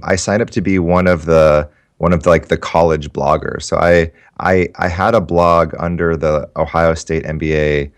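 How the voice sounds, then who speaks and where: A man in his thirties whose first language was Japanese, a guest writer telling his story in a loose, rambling way with many restarts. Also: low voice. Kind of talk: casual interview talk.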